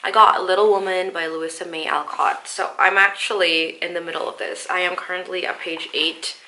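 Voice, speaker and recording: A woman's voice: fairly low pitch, pushed and bright, low-quality recording. Stuffy-nosed young woman book vlogger. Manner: chatty and apologetic, explains each pick step by step. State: nasal and stuffed up from the flu. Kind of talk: casual vlog talk to camera.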